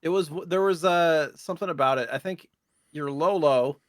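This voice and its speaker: A man's voice: on the high side, normal speed, no room sound. Man chatting casually, dry close sound. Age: 30s. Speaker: podcast co-host. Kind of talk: casual retro gaming chat.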